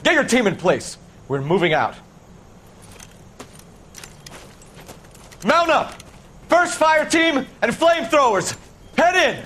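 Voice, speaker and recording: A man's voice: very high-pitched, big pitch swings, a little slow, noisy background, heavily acted. A man acting as a rigid, impatient by-the-book Marine officer in a war game, stern and insistent. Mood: forceful. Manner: stern, insistent, barking orders. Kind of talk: dramatic video game acting.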